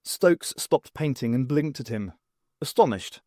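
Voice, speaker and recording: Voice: medium pitch, animated, unhurried, dark tone, no room sound, studio quality. A narrator reading a prose story as a scripted audiobook.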